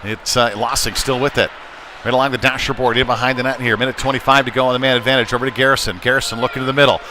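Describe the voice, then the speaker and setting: A man's voice: medium pitch, level tone, rapid-fire. Man calling hockey over rink noise. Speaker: ice hockey play-by-play commentator. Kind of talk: live sports commentary. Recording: clear background noise, live rink ambience. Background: ambient sports venue noise.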